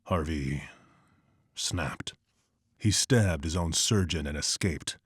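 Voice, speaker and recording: A man voicing a grim, brooding masked vigilante in terse, measured, somber dramatic narration. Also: no room sound, studio quality, heavily acted. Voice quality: deep, husky.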